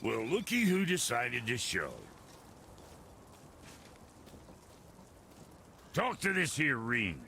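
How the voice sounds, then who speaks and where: Gruff dwarf blacksmith: forceful, cutting, hugely animated delivery, blunt and colloquial, fantasy game. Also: male voice, medium pitch, measured pace, slight background noise, exaggerated voice. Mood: cocky. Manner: folksy, brash, colloquial.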